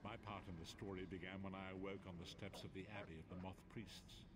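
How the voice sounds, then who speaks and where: An actor playing a blind old prophet in a video game, frail and wise, speaking in a solemn, formal, measured way. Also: male voice, low-pitched, flat delivery, heavy background noise, close to an everyday voice. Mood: grave, solemn. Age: older. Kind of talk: dramatic scripted game narration.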